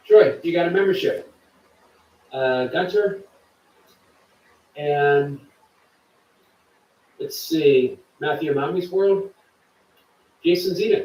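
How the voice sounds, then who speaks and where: A man's voice: fairly high pitch, slow pace, long pauses, echoey room, distant mic, low-quality recording. A man hosting a live stream, chatting casually and reading viewer comments aloud. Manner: chatty, warm to viewers, reads chat aloud. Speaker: livestream host.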